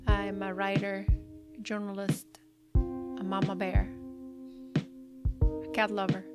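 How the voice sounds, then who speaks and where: A woman from Mexico speaks, a writer recounting her own story in an earnest, reflective way. She also recites a poem. Music plays loudly under her voice the whole time. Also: medium pitch, monotone, takes it slowly. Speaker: writer and journalist. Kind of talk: personal storytelling and poetry reading.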